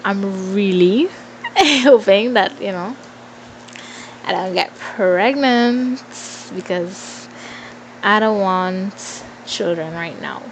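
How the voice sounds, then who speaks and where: A woman's voice: mid-range voice, lively intonation, very slow, slight background noise, low-quality recording. A woman in her twenties with a Trinidadian accent chats informally and playfully to followers in a casual Q&A, thinking aloud as she goes. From Trinidad and Tobago. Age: 20s. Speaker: vlogger.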